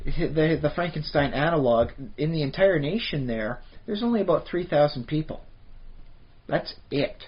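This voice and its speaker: A man's voice: a little high, lively intonation, moderate pace. A man from Canada co-hosting a podcast, chatting casually about tabletop games and rambling with many fillers and restarts. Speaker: podcast co-host. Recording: dull lo-fi podcast audio.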